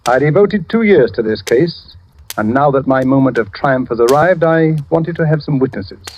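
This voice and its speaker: An actor playing a self-satisfied, shrewd detective with a measured, theatrical manner in an old radio drama. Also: male voice, medium pitch, animated, darkish tone, muffled recording, lightly acted. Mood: smug, theatrical. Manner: pompous, measured, assured. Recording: noisy old radio recording with clicks.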